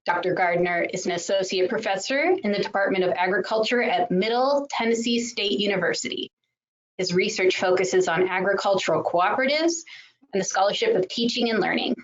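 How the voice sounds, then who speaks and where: A woman's voice: medium pitch, a little fast. A woman opening a webinar as its host, politely reading a scripted welcome and introduction. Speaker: journal manager, host. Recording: dull lo-fi webinar audio.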